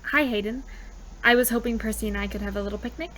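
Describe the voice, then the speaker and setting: A woman's voice: on the high side, fast talker, fairly bright tone, slight background noise, lightly acted, poor audio. An actress playing a bubbly, persuasive, mischievous young woman in an audio drama. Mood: pleading. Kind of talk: naturalistic audio drama acting.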